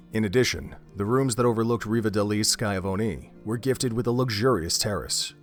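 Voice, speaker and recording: A man narrating an audio drama in a steady, descriptive storytelling manner. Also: fairly low pitch.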